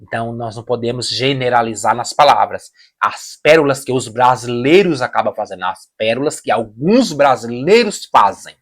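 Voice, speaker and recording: A man's voice: mid-range voice, expressive, normal speed. A man from Brazil with a Brazilian Portuguese accent, hosting a reaction video and giving emphatic opinions, often repeating phrases and restarting sentences. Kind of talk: casual opinion commentary.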